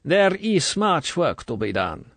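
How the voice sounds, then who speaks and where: A man's voice: fairly high pitch, lively intonation, slow, no echo, pitched above the performer's own voice, exaggerated voice. A man voicing a sugary, menacing middle-aged female Soviet colonel, in an audiobook. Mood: probing. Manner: falsely sweet, smooth, then cold and commanding.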